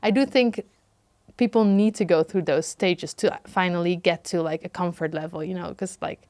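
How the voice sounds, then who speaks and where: A woman guest on a podcast, talking in a relaxed way full of "like" and "you know", often trailing off softly. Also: fairly low pitch, moderate pace, dry recording. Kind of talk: casual interview chat.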